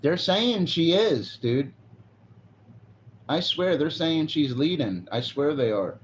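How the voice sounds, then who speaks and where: A man's voice: fairly high pitch, animated, slow pace, slight background noise. A forty-year-old man livestreaming, chatting casually and rambling, swearing now and then. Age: 40s. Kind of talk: casual live stream chat. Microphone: phone on a selfie stick.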